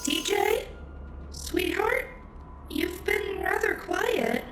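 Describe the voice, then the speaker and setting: A woman's voice: very high-pitched, very slow, fairly bright tone, full character voice. A woman voicing a sweet, motherly animatronic chicken with affectionate, bubbly delivery in a fan cartoon dub. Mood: concerned. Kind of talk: fan comic dub acting. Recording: echoey, with music behind.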